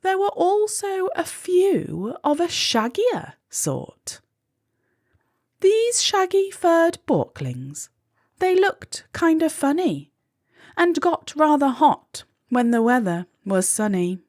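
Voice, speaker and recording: A woman reads a rhyming children's tale aloud at a very unhurried pace. Her pitch swings widely as she tells it with measured drama, and the recording is close and dry. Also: huge pitch swings, a little mellow, no echo. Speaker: children's storybook reader. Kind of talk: expressive read-aloud of a rhyming children's story.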